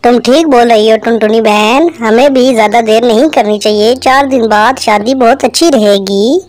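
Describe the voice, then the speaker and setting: A woman's voice: on the high side, moderate pace, a little mellow, natural voice. A woman voicing an eager, friendly male forest animal in a cartoon moral story, enthusiastic in manner. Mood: delighted. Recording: music under the voice.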